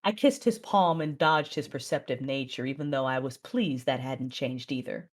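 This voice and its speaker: A woman acting as a controlled, proud Sith mother, narrating and speaking in a measured, intense way in an audio drama. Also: a bass voice. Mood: intimate, resolved. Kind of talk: first-person dramatic narration and dialogue.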